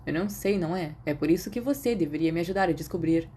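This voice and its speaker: A woman's voice: on the low side, fast, dark tone, breathy edge. A woman with a Brazilian Portuguese accent voicing an awkward, subdued, self-doubting teenage boy in an audiobook. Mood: doubtful.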